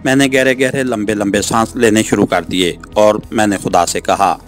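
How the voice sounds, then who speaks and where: Low-voiced man narrating over music. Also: fairly even intonation, normal speed. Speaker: audiobook narrator. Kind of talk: scripted first-person novel narration, suspense. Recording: music under the voice. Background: background music.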